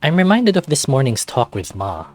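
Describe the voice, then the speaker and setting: A man reading a visual novel aloud as an animated narrator. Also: medium pitch, moderate pace.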